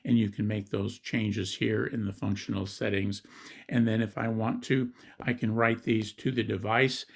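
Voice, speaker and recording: Man with a creaky voice, demonstrating gadgets. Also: lowish voice, level tone, moderate pace. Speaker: gadget reviewer. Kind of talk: step-by-step app demonstration and product review.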